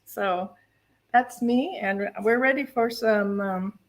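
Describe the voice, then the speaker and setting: Slow-paced woman hosting a service. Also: a little high. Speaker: minister and service host. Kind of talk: casual welcome and announcements at an online spiritual service.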